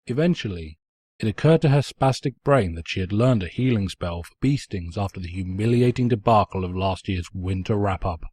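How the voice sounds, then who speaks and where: Casting: male story narrator, raspy, mock-pompous and sardonic, very dry close recording. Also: on the low side, moderate pace, darkish tone, no echo. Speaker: narrator, fan fiction reader. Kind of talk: theatrical scripted story narration.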